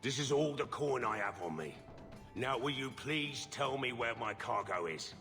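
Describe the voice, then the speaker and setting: A man's voice: medium pitch, lively intonation, moderate pace, bright, forceful tone. A man voicing a shady, defensive merchant smuggler in a video game, exasperated and wheedling. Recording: long hall reverb, music behind.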